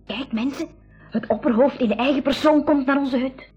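An actress playing a curious, outspoken young woman on a jungle expedition in a radio play, expressive and opinionated. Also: high-pitched, moderate pace, dull audio, soft background music, close to an everyday voice. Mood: wary. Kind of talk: 1980s school radio play acting.

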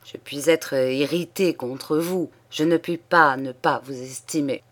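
A woman's voice: low-pitched, measured pace, voice pushed down. A haughty old nobleman , as a woman reader puts him on, who speaks with cutting irony and swings from cold scorn to outbursts. His storybook voice carries the weight of an imperious, easily provoked father. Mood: softening, grave. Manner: haughty and ironic, flaring into shouts. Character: proud, hot-tempered old male aristocrat.

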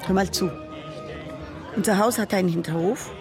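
An actress playing an elderly grandmother who tells a grandchild about her childhood, speaking haltingly with broken grammar. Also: mid-range voice, over music, a crowd in the background, natural voice. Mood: reflective. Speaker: narrator figure telling a childhood memory. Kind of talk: radio drama monologue.

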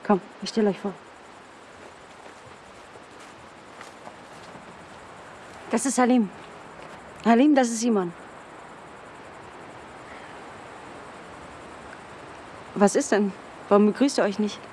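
An actress playing a headstrong, hospitable wife in a naturalistic radio drama, speaking in a brisk, pointed way. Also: female voice, medium pitch, mellow, a little hiss or hum, close to an everyday voice. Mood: brisk, hosting. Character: headstrong wife, hospitable to strangers, impatient with husband. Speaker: actor in a radio play.

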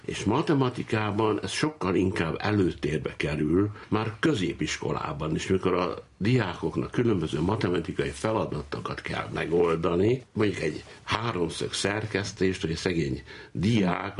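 Male academic guest, very deep and raspy, conversational storytelling for an interview. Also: animated. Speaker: mathematics professor, interview guest. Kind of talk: anecdotal interview talk.

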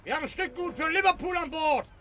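An actor playing a scrawny, brisk ship's crewman in a German radio play, shouting curtly as he hires hands. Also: extremely high pitch. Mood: brisk hailing. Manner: shouting, curt.

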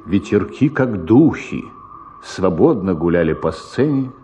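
A man narrating a literary story for radio in a measured, deliberate way. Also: low voice, big pitch swings, warm tone, slight background noise.

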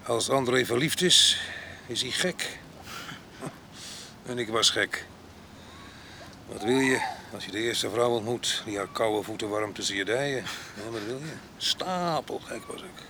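An actor playing a rough, jealous ex-convict husband in a radio drama, coarse, domineering and mocking, with a gravelly voice. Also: male voice, medium pitch, a little slow, background noise. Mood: bitter storytelling.

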